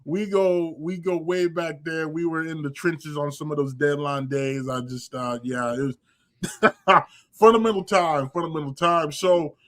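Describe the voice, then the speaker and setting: Young guy hosting a podcast, kinda high voice, rambles and restarts a lot. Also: measured pace, a bit bright. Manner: rambling, lots of fillers and restarts. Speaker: podcast host. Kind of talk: casual interview chat.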